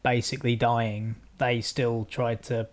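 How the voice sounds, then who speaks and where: A man co-hosting a podcast, chatting casually with quick backchannels and gentle teasing. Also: mid-range voice, level tone. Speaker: podcast co-host. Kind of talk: casual chat.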